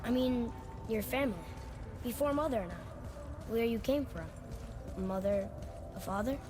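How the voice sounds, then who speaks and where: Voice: medium pitch, normal speed, natural voice. A young performer playing an eager, curious, talkative boy adventurer in a video game, earnest and inquisitive. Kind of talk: video game character acting. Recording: clearly noisy outdoor game ambience.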